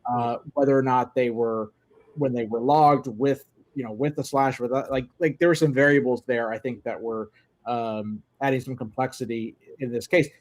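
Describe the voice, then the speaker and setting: A man discusses radio logging software in a relaxed chat. He hesitates and searches for his words as he goes. His voice is mid-pitched and the sound is dry. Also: normal speed, dry recording. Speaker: ham radio operator. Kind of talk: casual technical chat.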